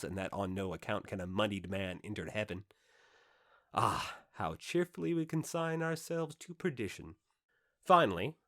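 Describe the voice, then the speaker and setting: A man reading a classic first-person novel aloud as an audiobook narrator, with theatrical, emphatic phrasing and dramatic pauses. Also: fairly low pitch, a bit bright, no echo, studio quality.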